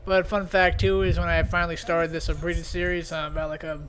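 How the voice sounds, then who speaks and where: A man's voice: on the high side, little pitch movement, brisk, fairly bright tone. A man giving casual, off-the-cuff video commentary in a hesitant, rambling, self-deprecating way. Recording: noisy amateur home recording.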